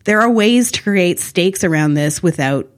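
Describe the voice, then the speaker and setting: A woman's voice: fairly low pitch, lively intonation, moderate pace. A woman co-hosting a casual TV recap in a blunt, wry, critical manner. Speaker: podcast co-host.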